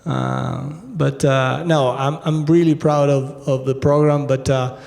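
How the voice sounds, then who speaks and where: A man's voice: mid-range voice, little pitch movement, takes it slowly, creaky, a little mellow. A man in his fifties from Argentina with an Argentine accent, giving a conversational keynote talk at a conference, with many fillers and restarts. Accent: Argentine Spanish. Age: 50s. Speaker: retail executive keynote speaker. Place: large conference hall. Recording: echoey hall with long reverb.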